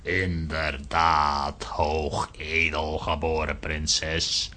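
A man's voice: rumbling low, flat delivery, extremely slow, sharp tone, exaggerated voice. A man playing a solemn royal astrologer and loyal adviser in a children's audio drama, speaking in a stately, ceremonious way.

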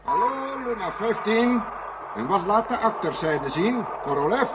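An actor playing a calm, official Soviet ground control operator in a 1970 radio drama, giving terse, formal replies through a radio filter. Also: male voice, high voice, unhurried. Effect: radio transmission filter. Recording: very noisy, muffled, distant radio transmission.

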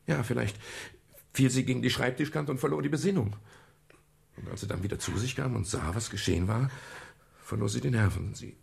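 A man's voice: mid-range voice, a little fast, full character voice. An actor playing a weary, world-worn male private detective who acts and narrates in a German radio crime drama. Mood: reconstructing events. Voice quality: gravelly, husky.

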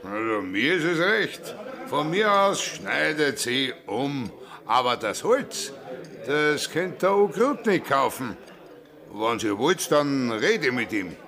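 An actor playing a grumbling, business-minded village innkeeper in a radio play, plain-spoken, with an Austrian accent. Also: male voice, medium pitch, sing-song, slow, a crowd in the background. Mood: indifferent. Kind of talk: radio drama acting.

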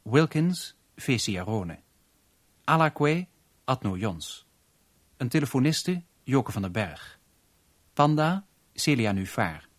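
Slow, formal male radio announcer. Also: mid-range voice, very animated, dry recording. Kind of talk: formal scripted cast credits.

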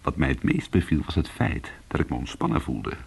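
An actor playing a weary, hard-boiled former cat burglar, telling his story in an intimate, brooding and deliberate way in a radio play. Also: a bass voice, huge pitch swings, creaky, slightly rough, heavily acted. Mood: hushed, tense.

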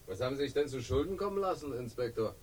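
A man plays a suave crime boss in an old radio thriller, feigning innocence. The husky, medium-pitched voice is picked up at a distance. His manner is urbane, ironic and smoothly evasive. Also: lively intonation, fast talker, close to an everyday voice. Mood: feigned innocence. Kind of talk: 1960s German radio crime drama acting.

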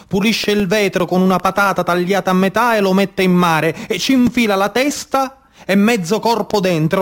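A man with a Sicilian accent performing a dramatic narrative monologue as a stage storyteller. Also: high voice.

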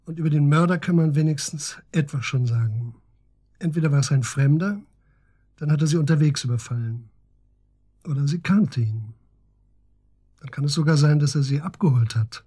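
A calm male police investigator in a radio play, middle-aged, with a slightly high, husky voice. He speaks soberly and to the point, probing with short questions. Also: moderate pace, long pauses. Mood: sober, reassuring. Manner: matter-of-fact, probing, terse questions. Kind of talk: naturalistic German radio drama acting.

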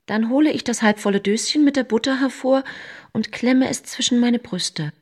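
An actress playing a tense, guarded accused woman, narrating her story in measured literary radio drama style. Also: on the high side, no room sound, studio quality, close to an everyday voice. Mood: guarded dread.

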